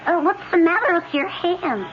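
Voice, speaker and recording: High-pitched, nosy little boy. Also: lively intonation, normal speed. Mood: eager, curious. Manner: blunt, inquisitive. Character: chatty, curious, pestering little boy at the park. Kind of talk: 1970s radio drama acting. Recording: muffled old radio broadcast, faint music.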